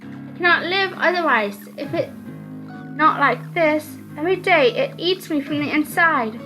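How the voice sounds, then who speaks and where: A woman's voice: sits high, expressive, measured pace, pitched above the performer's own voice, poor audio. A woman voicing an anguished, vengeful young witch of darkness in a visual novel, with intense, dramatic delivery. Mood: anguished, bitter. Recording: music under the voice.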